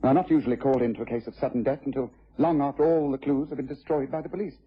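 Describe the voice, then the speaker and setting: An actor playing a shrewd, commanding English detective in a vintage radio drama, speaking precisely and with courteous authority. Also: male voice, mid-range voice, very fast, husky, lightly acted. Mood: inquisitive, brisk. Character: shrewd, commanding gentleman detective, methodical and confident. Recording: muffled old radio drama recording.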